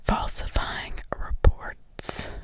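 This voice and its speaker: A woman's voice: normal speed, a bit bright, natural voice. A woman reading the part of a defensive, irritable male prison officer giving curt, evasive answers in a whisper. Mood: sullen.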